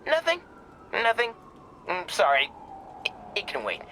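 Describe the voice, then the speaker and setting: A man's voice: sits high, wildly swooping pitch, a little slow, bright, forceful tone, slight background noise, exaggerated voice. An actor playing a nervous, eager teenage boy in a video game, hesitant and rambling, on a phone call. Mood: embarrassed. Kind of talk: video game cutscene acting.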